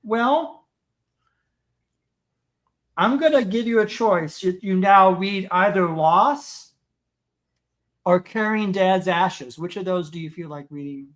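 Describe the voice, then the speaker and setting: High-voiced man, halting interviewer. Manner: halting, many restarts and repeated words. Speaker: podcast host. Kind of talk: interview conversation.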